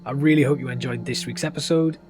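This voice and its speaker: A man's voice: medium pitch, fast talker, darkish tone. A man hosting a gaming video, narrating a walkthrough in a casual style. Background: game music.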